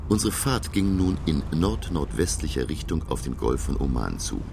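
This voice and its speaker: Learned professor telling a sea adventure, swings up and down, dramatic pauses, loud rumbly noise behind. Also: male voice, gravelly, heavily acted. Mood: gripped, wondering. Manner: measured, expressive storytelling with dramatic pauses. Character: learned, wonderstruck male professor recounting an undersea voyage. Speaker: narrator and actor. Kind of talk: dramatic radio play narration.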